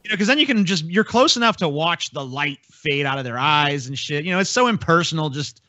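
A man's voice: a little high, expressive, fairly quick, pushed and bright, no echo, highs cut off. A man co-hosting a podcast with casual, profane banter, blunt and sarcastic in manner. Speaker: podcast co-host.

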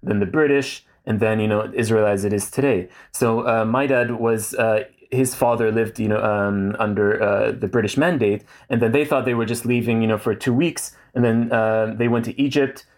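A man's voice: fairly low pitch, steady tone, normal speed, few pauses. A man in his thirties, a guest in a casual interview, speaking thoughtfully with many fillers and restarts. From Saudi Arabia.

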